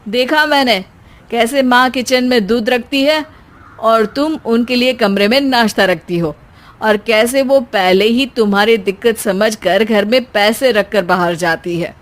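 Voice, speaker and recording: A woman's voice: on the high side, measured pace, lightly acted. A woman voicing an exasperated adult son in a cartoon, who lectures his bickering family with sarcasm. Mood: lecturing.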